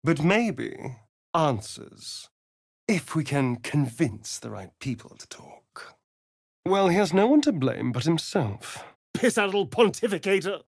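A man with a British accent and a breathy voice playing a vain, sardonic, flirtatious vampire spawn in a video game, with an arch, mocking drawl. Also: a little high, sing-song, takes it slowly, no room sound, studio quality, exaggerated voice. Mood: pondering, irritated. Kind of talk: theatrical video game character acting.

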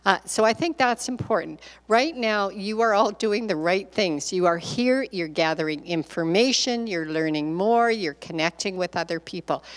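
An older woman speaking as a care partner on a panel, talking earnestly and encouragingly into a handheld microphone in a large meeting hall. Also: fairly low pitch. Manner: earnest, encouraging, repeats key points. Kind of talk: panel talk, advice to audience. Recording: hall reverb.

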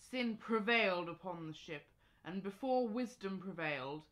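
A woman's voice: fairly low pitch, a bit bright. A woman acting a stern, pompous old priest in a radio drama, solemn and declamatory. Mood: grave teaching.

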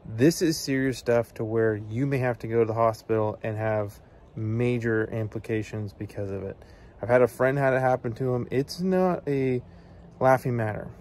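A man's voice: mid-range voice, normal speed, darkish tone. An American man in his thirties, an outdoors video host, talks to the camera in a casual, earnest, plain-spoken way, giving practical advice. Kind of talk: casual instructional talk to camera. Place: outdoors among evergreen trees.

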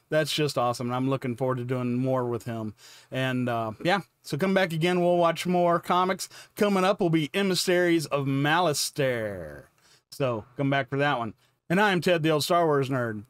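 A man's voice: on the high side, no room sound, clean studio sound. A man in his fifties hosting a reaction video with casual commentary in short, choppy, informal remarks. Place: small home room full of collectibles. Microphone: close mic on boom arm.

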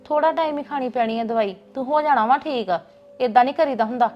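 A woman's voice: sits high, quick pace, lo-fi sound, natural voice. An actress playing a patient aunt who coaxes her niece in a motherly way. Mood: coaxing. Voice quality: coaxing, motherly. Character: patient coaxing female aunt of the family. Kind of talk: family drama acting.

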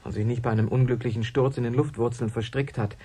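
A man's voice: mid-range voice, steady tone, fast, dark tone, husky, natural voice. An actor playing an earnest, agitated man in a German radio play, with an urgent, pleading way of talking. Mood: insistent. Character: earnest, agitated man defending his dead mentor.

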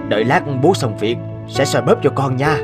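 A man voicing a gentle, devoted father in a cartoon dub, patient and concerned, with a husky voice. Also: mid-range voice, lively intonation, moderate pace, loud music behind the voice, natural voice. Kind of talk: cartoon dubbing.

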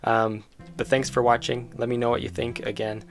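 A man's voice: medium pitch, level tone, normal speed. A man giving casual, informal demo commentary as a game developer, enthusiastic and thinking aloud as he goes. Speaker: game developer presenting own project. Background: music behind the voice.

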